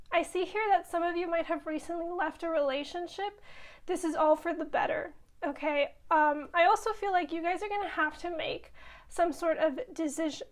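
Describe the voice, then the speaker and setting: A woman giving a casual tarot reading, a little hoarse from losing her voice, reassuring her viewers with frequent "okay?". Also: extremely high pitch. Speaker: tarot reader.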